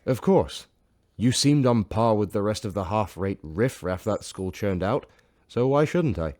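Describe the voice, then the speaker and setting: A man playing a haughty professor in a sci-fi audio drama, brusque and pompous but gruffly encouraging. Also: on the low side, animated, unhurried, a little mellow, no room sound, studio quality.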